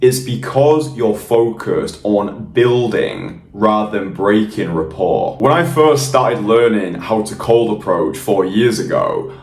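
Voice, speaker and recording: A young man coaching in a blunt, emphatic and confident way, swearing freely. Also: fairly low pitch. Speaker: dating coach. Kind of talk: direct-to-camera coaching monologue. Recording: roomy sound, mic at a distance.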